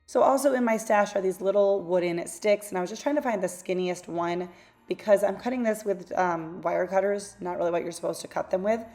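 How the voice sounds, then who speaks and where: A woman hosting a craft channel, talking through a tutorial in a casual, rambling voice-over; her voice is a little raspy from losing it. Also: medium pitch, fairly quick, darkish tone. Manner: chatty, rambling, self-correcting asides.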